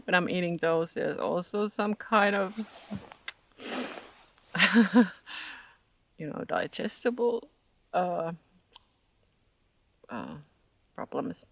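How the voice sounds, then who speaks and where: A Finnish-accented speaker, native in Finnish, gives a hesitant, reflective spiritual vlog, often searching for words and laughing softly. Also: mid-range voice, lively intonation, extremely slow, gravelly, long pauses. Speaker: spiritual vlogger. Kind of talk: casual reflective monologue.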